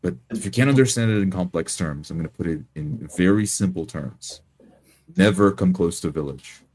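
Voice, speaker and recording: A man's voice: sits low, normal speed, a little mellow. A man playing a stern, blunt adventurer who lays down terms in a commanding, threatening way. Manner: commanding, blunt, spells things out.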